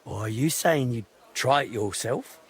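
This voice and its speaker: An actor playing a middle-aged, washed-up drunken master craftsman in a video game, halting, grumbling and self-pitying, with a gravelly, husky voice. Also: medium pitch. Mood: hesitant, rallying. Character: ashamed washed-up drunken old master clockmaker, grumbling and reluctant. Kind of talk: video game drama acting.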